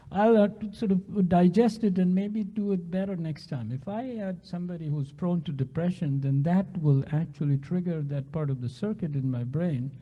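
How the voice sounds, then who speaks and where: Earnest man explaining, husky and muffled. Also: a little high. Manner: earnest and explanatory, with frequent restarts. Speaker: physician researcher on a panel. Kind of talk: panel discussion answer.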